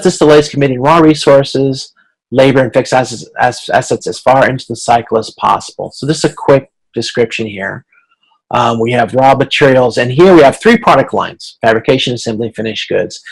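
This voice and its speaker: A man giving an informal lecture as an instructor on a video call, explaining slides in a halting way with repeated words and restarts. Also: mid-range voice, vocal fry, rough recording. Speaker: college instructor.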